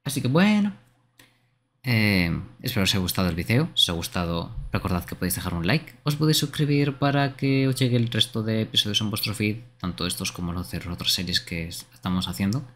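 A man's voice: fairly low pitch, expressive, brisk, fairly bright tone, slight background noise. A man hosting a gaming livestream with casual, conversational chat. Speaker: streamer, host.

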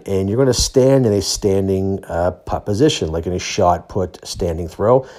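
A man's voice: on the low side, dark tone. A middle-aged man giving a casual, plain-spoken voice-over of training footage, explaining as he goes. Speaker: athlete and training vlogger.

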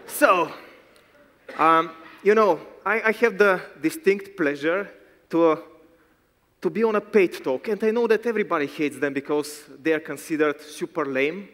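A man's voice: on the high side, moderate pace, fairly bright tone. A man with a Bulgarian accent giving a joking, self-deprecating conference talk on stage with a handheld mic. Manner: wry, self-deprecating, teasing. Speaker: conference speaker. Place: large conference hall stage. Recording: long hall reverb.

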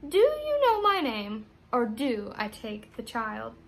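A high-pitched little storybook imp , played by a woman reading aloud. He bargains and taunts with relish, exclaiming his demands like a gleeful, scheming magical creature. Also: wildly swooping pitch, slow, creaky, slight background noise, full character voice. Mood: taunting.

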